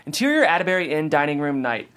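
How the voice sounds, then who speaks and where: A man's voice: fairly high pitch, big pitch swings, fast talker, fairly bright tone. A man reading scripted stage directions as the narrator at a table read.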